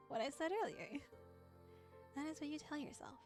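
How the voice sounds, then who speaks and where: Girl vtuber w a high voice reading a visual novel n chatting, playful, giggly, soft music behind. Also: unhurried. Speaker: VTuber streamer. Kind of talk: visual novel playthrough, reading aloud with casual commentary.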